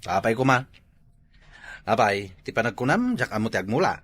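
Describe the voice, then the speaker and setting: A man's voice: mid-range voice, big pitch swings, normal speed, a bit bright, husky. A man with an Ilocano accent playing a carefree, stubborn younger brother in a comedy drama, boastful and fond of drinking. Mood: stubborn, defiant. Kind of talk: Ilocano comedy radio-style drama acting.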